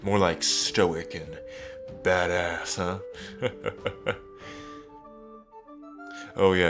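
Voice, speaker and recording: A cocky young dragon king, acted by a man, speaks in a low, slow voice. He is casual and playful, teasing the listener fondly in an intimate fantasy ASMR roleplay. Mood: merry, boastful.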